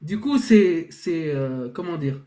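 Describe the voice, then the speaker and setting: A man's voice: a little high, animated, slow, mellow. A man hosting a live online debate, talking in a hesitant, reassuring way with lots of restarts and fillers. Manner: reassuring and conciliatory.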